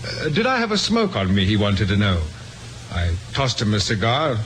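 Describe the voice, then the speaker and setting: A man's voice: on the low side, huge pitch swings, moderate pace, fairly bright tone. A man playing an evasive, polite suspect in his thirties in an old-time radio drama, hesitantly over-explaining. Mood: nervously explaining. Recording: faintly noisy old radio recording.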